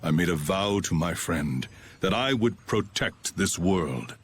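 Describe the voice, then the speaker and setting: An actor playing a grave, aloof swordsman bitter about humanity, speaking in a solemn, formal, measured way in a video game. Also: low voice, animated, a little hiss or hum. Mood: grave, condemning. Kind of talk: dramatic video game voice acting.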